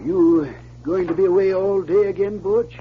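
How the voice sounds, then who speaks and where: Injured frontier miner, male actor, plaintive, halting and grateful, vintage radio delivery, fairly high voice, hissy recording. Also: steady tone, phone-quality sound, close to an everyday voice. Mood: resigned. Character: injured, blinded gold miner, helpless but grateful uncle. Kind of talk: 1940s radio acting.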